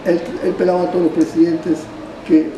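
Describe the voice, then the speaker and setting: An older man tells his story in halting bits, restarting phrases and tacking on little tag questions. His voice sits fairly high. He is picked up from a distance in a roomy space with steady ambient noise behind him. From Panama. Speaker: barber being interviewed. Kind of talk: rambling oral history. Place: barbershop.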